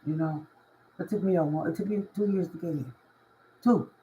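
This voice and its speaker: Woman, raspy hoarse voice, casual spiritual vlog, emphatic, repetitive. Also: fairly low pitch, a little fast, dark tone, dull audio, a little hiss or hum. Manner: emphatic and repetitive, constant "you know what I mean". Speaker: spiritual reader and life coach. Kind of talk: casual motivational monologue to camera.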